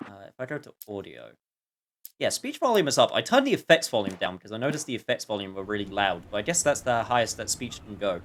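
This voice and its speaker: A man's voice: on the low side, sing-song, quick pace, fairly bright tone, slight background noise. A man giving casual gameplay commentary as a streamer, with a joking, sarcastic manner. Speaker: game streamer.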